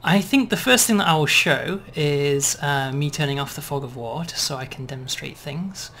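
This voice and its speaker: A man demonstrating and explaining a game build in a relaxed way, checking in with "right?" as he goes. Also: on the high side, normal speed. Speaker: game developer demoing.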